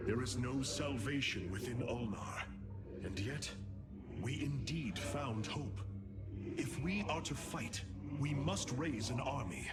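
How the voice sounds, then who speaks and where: A righteous alien commander in a game cutscene. His mid-pitched voice swings widely with solemn, rallying oratory. Loud music plays behind him. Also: expressive, takes it slowly. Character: noble, resolute alien warrior leader, commanding and righteous. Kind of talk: dramatic video game cutscene acting.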